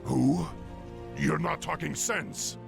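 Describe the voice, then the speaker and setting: An actor playing a noble young orc war chief in a video game cutscene, with grave, forceful, theatrical delivery. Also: male voice, a little high, slow pace, gravelly, music in the background, full character voice. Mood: urgent, outraged.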